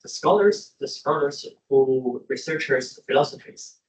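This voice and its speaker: A man's voice: mid-range voice, flat delivery, measured pace. Hesitant man in an echoey room. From China. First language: Chinese. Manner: hesitant, many fillers and restarts. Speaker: student asking a question.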